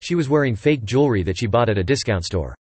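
A man reading English example sentences aloud for listening practice, in an even, clipped delivery. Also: medium pitch, fast talker, breathy edge, no echo.

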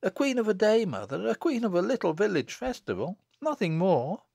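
A cheerful young village woman from an audiobook tale, played by a man. The voice is lifted high and light, with lively melody, and sounds eager, kindly and a little dreamy. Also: brisk, gravelly, no echo, studio quality, exaggerated voice.

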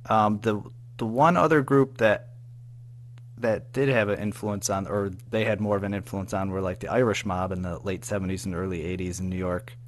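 A man's voice: lowish voice, fairly even intonation, moderate pace, a little hiss or hum. An American man giving a casual interview as a guest, speaking in an informal, hesitant, rambling way. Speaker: interview guest, audio drama writer and producer.

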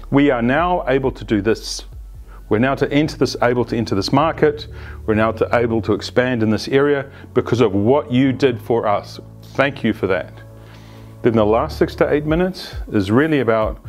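A man in his fifties, an agile coach, gives emphatic tips straight to camera in a plain studio with a lapel mic. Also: mid-range voice. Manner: animated, emphatic, gestures a lot. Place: plain indoor studio, grey backdrop.

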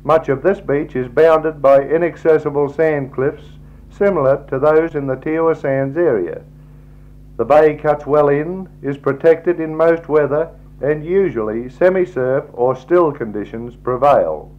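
A man with an Australian accent gives a measured, formal scripted travelogue narration in a 1960s style. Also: fairly high pitch. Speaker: slideshow narrator. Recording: dull, lo-fi 1960s tape recording.

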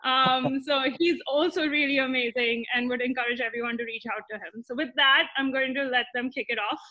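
A woman's voice: high voice, steady tone, brisk, sharp tone. A woman in her thirties giving a casual introduction as host on a video call. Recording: dull, band-limited video call audio.

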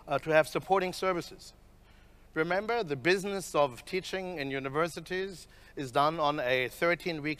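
Higher-pitched middle-aged man giving a keynote. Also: a bit bright. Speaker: university professor, keynote speaker. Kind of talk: conference keynote presentation. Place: large conference stage, indoors. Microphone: headset.

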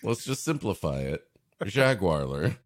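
A male comedy podcast host with a fairly low voice and animated, swinging intonation. He improvises in a casual, jokey way, often mock-serious. The sound is close and dry. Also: measured pace, no room sound. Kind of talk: improvised comic riffing in casual chat.

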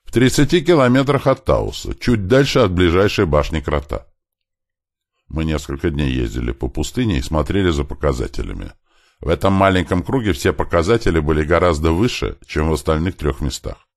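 A man's voice: extremely low pitch, lively intonation, fairly quick, dry recording, clean studio sound, pitched above the performer's own voice. A man voicing an earnest male professor in an audiobook, explaining things politely and with emphasis. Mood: earnest, emphatic.

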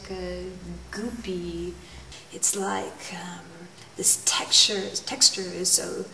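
A woman in her forties from the United States, a painter talking about her work in her studio, musing and unhurried, often laughing. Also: fairly low pitch, pushed and bright, slight background noise. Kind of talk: relaxed interview talk. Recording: echoey studio, mic far off.

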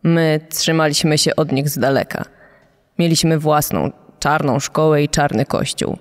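A man's voice: a little high, steady tone, normal speed, natural voice. A man reading out the recollections of an elderly woman as a documentary witness. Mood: solemn recollection. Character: elderly woman recalling her segregated childhood. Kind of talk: acted reading of a witness quote. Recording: long reverberant echo.

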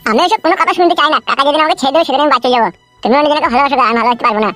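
A man's voice: very high voice, lively intonation, racing, pushed and bright, faint music behind, heavily acted. A man voicing a timid, simple-hearted henpecked husband in an amateur comedy cartoon, naive and fearful. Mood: reluctant.

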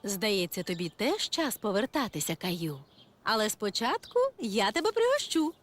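A woman's voice: mid-range voice, very animated, moderate pace. A woman voicing friendly, caring female characters in a children's cartoon, with sing-song, encouraging talk to a small child. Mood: kindly. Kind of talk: children's cartoon dub acting. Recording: music behind the voice.

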